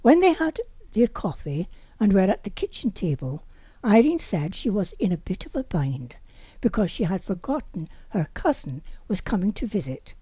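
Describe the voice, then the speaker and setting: Woman reading fiction, husky and very lively. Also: medium pitch, no echo, dull audio. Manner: reading aloud with occasional stumbles. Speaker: author reading their own novel aloud. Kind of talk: amateur audiobook narration of romance fiction.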